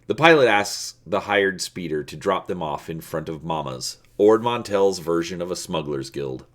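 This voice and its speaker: A man hosting and dramatically reading an adventure story, deliberate and stretching words for emphasis. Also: deep voice, lively intonation. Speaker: video host and storybook narrator.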